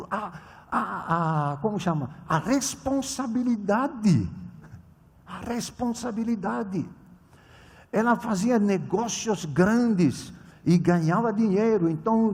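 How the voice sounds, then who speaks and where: Older pastor preaching in a reverberant hall. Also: male voice, high voice, breathy edge. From immigrant to Brazil. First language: not Portuguese. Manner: dramatic, swings between loud proclamation and quiet storytelling. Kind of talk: sermon with personal story.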